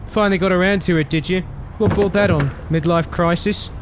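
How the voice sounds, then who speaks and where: A woman's voice: fairly low pitch, steady tone, brisk, noisy background, natural voice. Woman playing a tough, sardonic adventurer. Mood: sardonic, weary. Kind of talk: comic dub voice acting.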